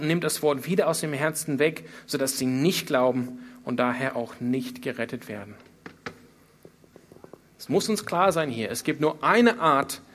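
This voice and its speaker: A man's voice: medium pitch, a bit bright, a little hiss or hum. A man preaching a sermon, speaking earnestly with emphatic repetition and rhetorical questions. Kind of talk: sermon, expository Bible preaching.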